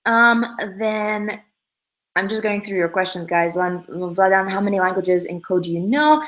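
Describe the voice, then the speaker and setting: A young woman originally from Russia, with Russian as her first language, chats upbeat and loose on her livestream, full of ums and restarts as she reads comments. Her voice sits at a middle pitch, and the room around her gives a noticeable echo. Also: measured pace, a bit bright. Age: young adult. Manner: chatty, upbeat, many fillers and restarts. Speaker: livestream host. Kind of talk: casual livestream chat answering comments.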